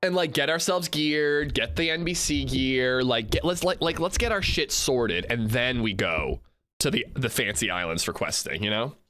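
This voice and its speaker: A man livestreaming and chatting casually with viewers, a bit congested, swinging between upbeat shout-outs and low mumbles. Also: lively intonation, moderate pace.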